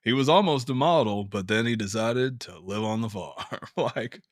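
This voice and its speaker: A young adult man co-hosting a casual podcast chat, animated, swearing casually and laughing often. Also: fairly low pitch, normal speed, no room sound, studio quality. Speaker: podcast co-host.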